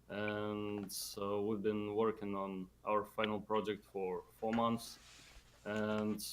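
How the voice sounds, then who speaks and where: Young guy from Russia, low flat voice, halting short sentences, faint noise. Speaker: guest game designer. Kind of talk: casual interview.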